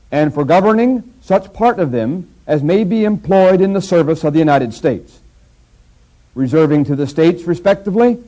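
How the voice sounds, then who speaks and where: A man giving measured, formal scripted narration for an educational film. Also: sits high, warm tone, poor audio.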